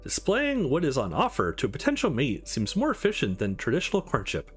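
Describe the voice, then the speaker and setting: A man's voice: mid-range voice, huge pitch swings, fairly quick, slightly rough, soft background music. A man voicing a cheeky, mischievous young woman in a visual novel, with a blunt, playful manner. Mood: matter-of-fact.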